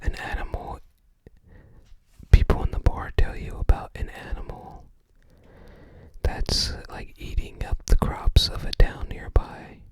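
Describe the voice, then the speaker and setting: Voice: unhurried, long pauses, a little mellow, dry recording, poor audio. Someone whispering asmr up close, kinda rambly and hesitant, little short phrases. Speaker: ASMR creator. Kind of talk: whispered ASMR book browsing.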